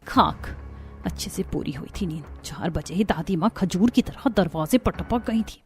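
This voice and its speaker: A woman's voice: fairly low pitch, lively intonation, normal speed, darkish tone, soft background music, voice pushed down. A woman voicing a put-upon young daughter-in-law in a family cartoon, with a wry, complaining manner. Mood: grumbling.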